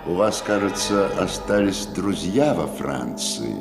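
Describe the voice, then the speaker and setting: An actor playing a cynical, imperious Pope, unctuous and grandly paternal, in a radio drama. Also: male voice, sits low, lively intonation, measured pace. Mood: dismissive, paternal. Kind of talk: Russian radio drama acting. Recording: echoing room, loud music behind. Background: loud music.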